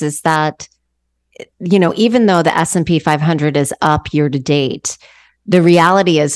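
A woman co-hosting a radio talk show, friendly and upbeat as she talks about the markets. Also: deep voice.

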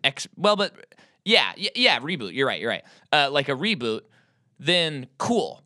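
Guy podcaster, bright forceful voice, dry close mic, pushing his hot takes. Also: fairly high pitch, big pitch swings, no room sound. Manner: opinionated, quick to joke about his own hot takes. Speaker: podcast co-host. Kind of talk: casual movie-review chat.

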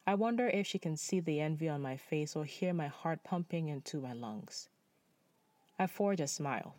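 A young man from Nepal telling a personal story in spoken-word style, measured and reflective. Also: fairly high pitch, lively intonation, a little mellow, no room sound.

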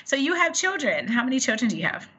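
A woman's voice: sits high, very animated, fast talker, lo-fi sound, a loud crowd. A woman co-hosting an interview, asking questions in an upbeat, laughing manner. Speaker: podcast co-host. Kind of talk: casual interview chat.

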